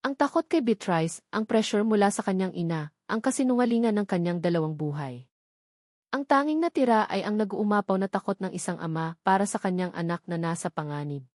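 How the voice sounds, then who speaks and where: A woman's voice: medium pitch, expressive, fairly quick, a little mellow, no room sound, studio quality. A woman narrating a scripted love story in a dramatic storytelling style. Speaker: narrator of audio love stories.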